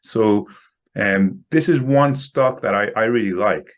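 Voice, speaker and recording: A man with a very deep, low voice talks through his views as a guest in a relaxed interview. He speaks haltingly, tripping over repeated words and restarting sentences. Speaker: guest market analyst. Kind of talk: casual interview.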